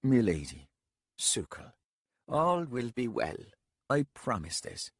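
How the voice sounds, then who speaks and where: A man voicing an old, bent castellan who speaks low, steady and patient. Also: slow pace, breathy edge, no room sound, clean studio sound, exaggerated voice. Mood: grave.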